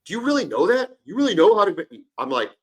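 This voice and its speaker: A man's voice: high voice, sing-song, quick pace, breathy edge. A man in his forties co-hosting a sports podcast, an eager storyteller who often jumps in and cuts across his partner. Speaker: podcast co-host. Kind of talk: casual sports chat.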